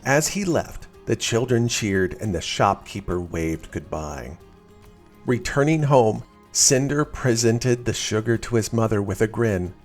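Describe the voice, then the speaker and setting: A man reading a scripted children's story as narrator, in an expressive storytelling style. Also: on the low side, unhurried, faint music behind.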